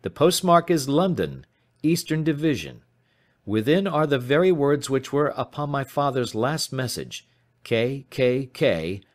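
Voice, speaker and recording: A man voicing an anxious, despairing young gentleman in an audiobook, formal and earnest in manner. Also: medium pitch, very animated, measured pace, voice pushed up. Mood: grave. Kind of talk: audiobook character voice.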